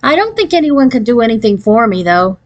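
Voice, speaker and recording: Lady doing a upset fifth grade girl in a kids book, high pitched, whiny and unsure. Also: very animated, a little fast, dull audio. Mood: upset, plaintive. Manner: plaintive, hesitant.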